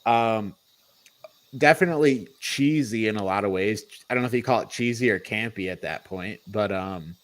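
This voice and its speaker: A man's voice: lowish voice, normal speed. A man co-hosting a casual movie review discussion, thinking aloud in an informal, hedging way. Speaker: podcast co-host reviewing movies. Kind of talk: casual panel chat.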